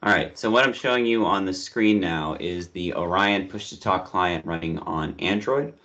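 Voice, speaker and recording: Low-voiced man giving a practical demo. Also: normal speed, pushed and bright. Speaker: demonstrator playing field operator. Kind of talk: practical demo and radio calls. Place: indoor room on video call. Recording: dull video call audio.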